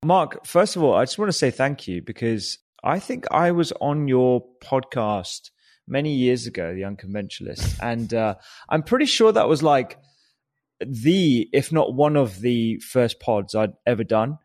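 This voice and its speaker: A man's voice: medium pitch, big pitch swings, unhurried, a little mellow. A man hosting a podcast interview in a living room, chatty and laughing often, with frequent restarts. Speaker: podcast host. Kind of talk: casual interview chat.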